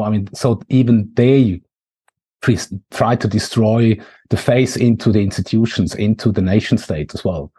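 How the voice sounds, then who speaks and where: A man with a Swiss accent, speaking as an interview guest in a halting way, full of fillers and restarts. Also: medium pitch, mellow, husky. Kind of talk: conversational interview.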